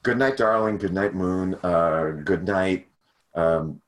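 Young guy, very low voice, loose self-mocking chatter full of ums, on a video call. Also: slow pace. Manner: rambling, self-deprecating, many fillers. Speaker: podcast guest, cover singer. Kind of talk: casual chat over video call.

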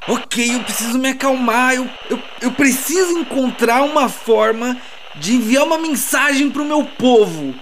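A man with a Brazilian accent playing a flustered, foul-mouthed merchant stranded in wreckage, talking haltingly and agitatedly in an audio drama. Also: very high voice, lively intonation, noisy background, exaggerated voice. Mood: flustered, anxious. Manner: agitated, halting, swearing, muttering to himself. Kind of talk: audio drama distress message monologue. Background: music.

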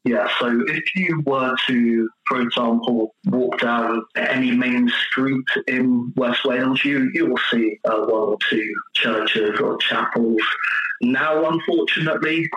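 A man's voice: medium pitch, steady tone, slow, fairly bright tone, reverberant, highs cut off, slight background noise. A man with an English accent, a pastor answering interview questions in a friendly, chatty way. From east of England. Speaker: pastor, podcast guest.

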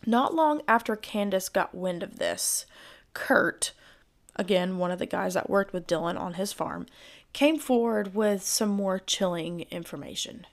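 Hoarse woman telling a true crime story. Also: medium pitch, animated, measured pace. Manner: chatty, speculative asides. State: hoarse, voice giving out. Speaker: true crime podcast host. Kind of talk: casual storytelling narration.

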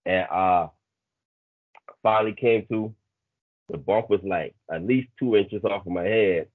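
A man in his thirties with a Bahamian accent and a deep voice tells stories casually as an interview guest. The sound is dry and narrow, like a thin phone line. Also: measured pace, slightly rough, no echo. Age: 30s. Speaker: guest, speed coach. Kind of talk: casual storytelling chat.

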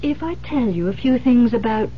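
An actress playing a composed, grave police detective, explaining deliberately with pauses in old-time radio drama style. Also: female voice, fairly high pitch, expressive, close to an everyday voice. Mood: measured, insistent. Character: composed, grave female police detective breaking bad news. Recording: muffled vintage radio recording with hiss.

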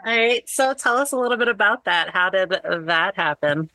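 A woman's voice: mid-range voice, animated, normal speed, pushed and bright, no room sound, poor audio. A woman co-hosting a podcast, chatty and bubbly, often laughing as she talks with her guests. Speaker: podcast co-host. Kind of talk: casual podcast interview.

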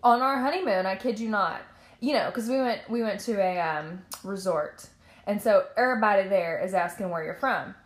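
A woman from Tennessee, hosting a casual chat, animated and emphatic. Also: medium pitch, normal speed, echoey room. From Nashville, Tennessee, US. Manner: animated, emphatic, warm affirmations.

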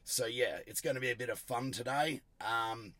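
A middle-aged Australian man hosting a car tuning podcast in a blunt, emphatic, casual way, his voice gravelly from a sore throat. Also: mid-range voice, moderate pace, a bit bright, no room sound. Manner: blunt, emphatic, salty-tongued. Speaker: host of an automotive tuning show. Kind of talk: casual solo podcast talk.

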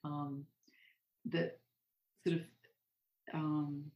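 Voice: medium pitch, level tone, drawn-out words, highs cut off. A guest author and artist talking in a meandering, hedging way with many "sort of" and "um". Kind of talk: reflective interview conversation. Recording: roomy echo.

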